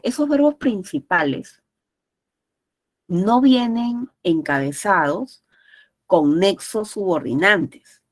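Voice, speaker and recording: A woman teaching an online class with a nose congested from a cold, explaining didactically and often checking understanding. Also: fairly low pitch. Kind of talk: explanatory lecture with frequent check-in questions.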